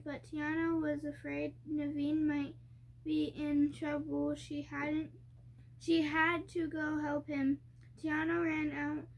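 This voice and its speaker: A young child reading a storybook aloud, haltingly and word by word, stumbling over harder words. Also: high voice, gravelly, rough recording.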